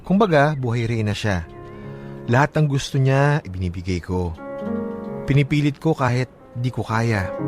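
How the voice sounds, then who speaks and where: Male radio storyteller over loud music. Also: medium pitch. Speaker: radio host and letter reader. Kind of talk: radio chat and dramatic letter narration.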